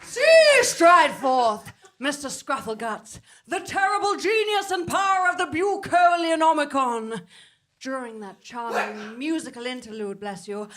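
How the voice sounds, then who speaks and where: A woman's voice: high-pitched, a bit bright, heavily acted. A woman playing a mad, grandiose female cult villain, theatrical and gloating in a comic audio drama, with pompous, florid delivery.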